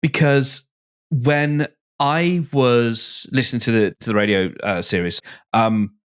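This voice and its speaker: A man's voice: mid-range voice, slow, clean tone. Male panelist, mid-range voice, hesitant conversational delivery, feeling for words in casual discussion. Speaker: podcast panelist.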